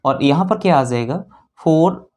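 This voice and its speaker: A man's voice: a little high, expressive, moderate pace, darkish tone. A man with a North Indian accent teaches maths step by step, repeating key phrases and often checking in with short questions. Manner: instructive, repetitive, with frequent check-in questions. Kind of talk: lesson explained while solving problems.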